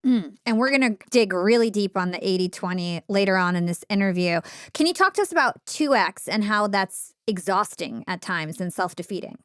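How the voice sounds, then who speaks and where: A woman's voice: medium pitch, animated, normal speed, hardly any pauses, clear, resonant tone, dry recording, clean studio sound. A woman hosting a podcast interview, guiding the conversation with questions.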